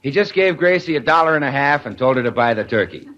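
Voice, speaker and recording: A man's voice: medium pitch, expressive, quick pace, fairly bright tone. Muffled old-time radio announcer, male. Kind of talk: 1940s radio scripted narration. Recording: muffled vintage radio recording, faint noise.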